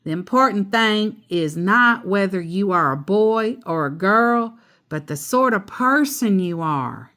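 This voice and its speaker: A woman voicing a sensible, patient mother bear in a storybook, speaking in a measured, instructive way. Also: mid-range voice, animated, a bit bright. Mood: reasoning. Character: sensible, patient mother bear giving gentle moral lessons.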